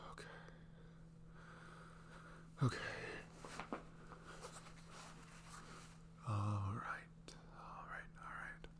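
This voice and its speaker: A man playing a nervous, adoring boyfriend in an intimate ASMR roleplay, breathy, halting and awestruck. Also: lowish voice. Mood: nervous, muttering. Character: nervous, adoring young boyfriend meeting online love.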